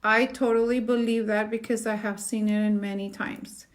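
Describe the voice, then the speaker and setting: Earnest woman psychic, halting and fillers. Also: a little high, level tone. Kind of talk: solo predictions monologue to camera.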